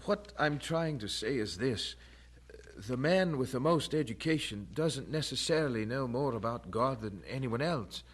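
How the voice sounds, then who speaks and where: An actor playing a devout, stubborn young student, speaking earnestly and respectfully in a radio drama. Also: male voice, medium pitch. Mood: earnest, hesitant.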